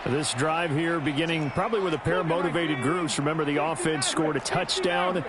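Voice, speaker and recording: Casting: American man acting as video game football play-by-play announcer, fairly high voice, crisp broadcast delivery, very loud background noise. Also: steady tone, a little fast, natural voice. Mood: brisk, informative.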